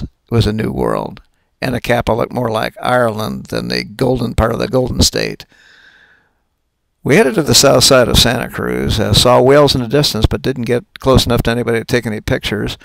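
An older man, a sailing captain, narrating his own trip video in a relaxed, folksy way with some fillers. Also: mid-range voice, vocal fry, rough recording.

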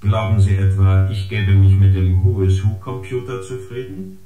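An actor playing a smug surveillance agent in a radio drama, reporting a dossier with precise, formal, sardonic delivery. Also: male voice, deep voice, steady tone, normal speed, close to an everyday voice. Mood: needling. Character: smug, gossiping surveillance agent or unit, dryly needling. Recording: echoing space, loud guitar music.

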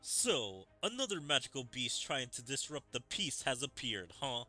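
A man's voice: medium pitch, sing-song, moderate pace, bright, forceful tone, soft background music. A man voicing a dutiful, thoughtful armored warrior from a fantasy game, reading the lines aloud.

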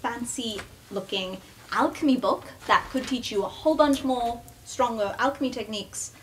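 A woman's voice: on the high side, normal speed, slight background noise, rough recording. A woman playing a tabletop game, improvising in-character dialogue in a matter-of-fact, questioning way. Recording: music bed under the voice.

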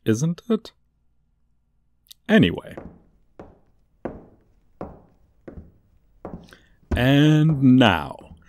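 Casting: male actor as sly villain tour guide, comedic audio roleplay; creaky voice, swooping pitch, sardonic showman delivery. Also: darkish tone, exaggerated voice. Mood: showy, sly. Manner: showy, drawn-out emphasis, dry asides. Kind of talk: comedic ASMR roleplay monologue.

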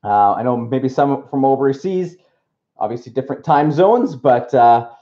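A man from Canada hosting a live card break from a table indoors, upbeat and slightly raspy from being unwell. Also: medium pitch, moderate pace, warm tone, dull audio. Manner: upbeat, reads off a list of names. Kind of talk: casual live stream chat.

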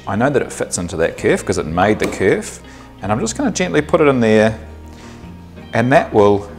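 A man in his thirties with a New Zealand accent, explaining a woodworking project step by step in a workshop, relaxed and thinking aloud with the odd um. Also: on the low side. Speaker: woodworking instructor. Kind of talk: workshop tutorial. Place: small indoor workshop.

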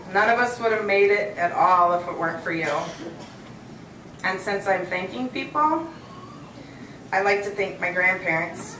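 An American woman giving a prepared thank-you speech as a student speaker at a graduation. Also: medium pitch. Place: hotel hall, at a podium on stage. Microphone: podium mic, captured by far audience camera. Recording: echoey, distant, noisy hall recording.

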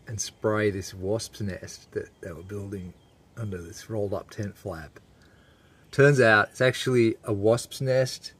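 A man in his forties with an Australian accent, talking casually and unscripted as a laid-back, colloquial vlogger. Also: low voice. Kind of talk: casual, unscripted vlog talk.

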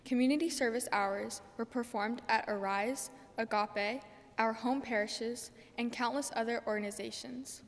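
A woman's voice: fairly high pitch, fairly even intonation, moderate pace. A teenage girl reading a prepared speech as a graduating student in a church. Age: teenager, about 14. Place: large church, indoors. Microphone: podium microphone. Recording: church reverb, faint room noise.

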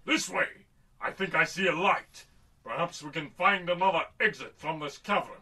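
Man as a high, raspy, formal winged alien. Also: sharp tone, far from the mic, heavily acted. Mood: solemn, dry. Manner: formal, deliberate. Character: stately winged alien sidekick, formal and long-suffering. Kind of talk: old-time radio sci-fi serial acting.

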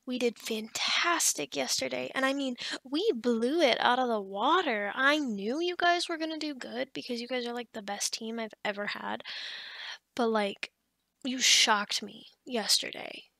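A woman acts out a bubbly, kindly female office boss with a high, bright voice. She rambles cheerfully and encouragingly in a casual ASMR roleplay, a little scatterbrained. The sound is close and dry. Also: measured pace, creaky, no room sound, full character voice. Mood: upbeat, casual. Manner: chatty, encouraging, rambling with soft laughs. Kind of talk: ASMR roleplay monologue, casual pep talk.

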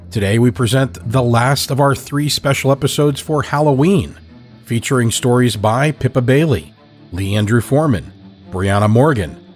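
A man speaking as a podcast host and storyteller, delivering scripted horror narration in a dramatic, theatrical way. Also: mid-range voice.

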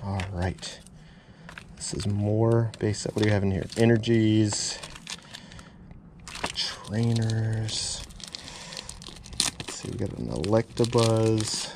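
A man's voice: lowish voice, slow pace, long pauses, noisy background. A man vlogging as he digs through a storage unit, amazed and repeating exclamations, filmed on a head-mounted camera. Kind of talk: excited unboxing vlog. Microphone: head-mounted action camera.

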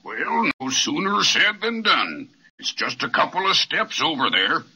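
A slow gentle giant. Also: male voice, fairly high pitch, very animated, breathy edge, heavily acted. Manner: slow, warm, drawn-out giant voice. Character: gentle kindly male giant, slow and friendly. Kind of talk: 1930s radio drama acting. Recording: muffled 1930s radio transcription.

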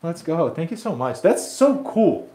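A man's voice: on the high side, big pitch swings, a little slow, mellow. A man in his thirties chatting loosely as he works, full of fillers and restarts. He sounds like he's explaining on the fly, talking to viewers from a renovation site through a phone camera. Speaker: tile installer streaming his work. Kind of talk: casual live-stream how-to chat. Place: bathroom renovation site and snowy driveway.

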